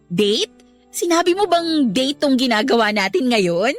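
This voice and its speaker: A shy young woman in love, lively and high. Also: a little slow, soft background music. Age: young adult. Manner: earnest, flustered, then indignant. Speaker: heroine Abby. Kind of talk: radio drama acting.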